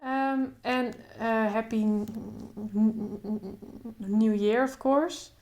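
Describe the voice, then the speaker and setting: Young woman, open stutter, repeated sounds and blocks, slow unhurried pace, flat even pitch, calm reflective vlog talk. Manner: thoughtful, calm, speaks in short phrases with pauses. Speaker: vlogger. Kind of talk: casual talk to camera.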